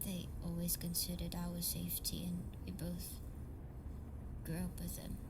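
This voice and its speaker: Casting: young tsundere delinquent heiress played by a woman, emotional and halting, intimate audio drama monologue, audible noise behind. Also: fairly low pitch, completely flat delivery. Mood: hushed, weary. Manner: emotional, halting, swears freely.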